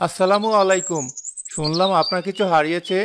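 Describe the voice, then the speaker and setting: A man's voice: sits high, fairly even intonation, fairly quick, a little hiss or hum, close to an everyday voice. A man voicing a poor, honest village porter in a Bengali cartoon, earnest, proud and wounded.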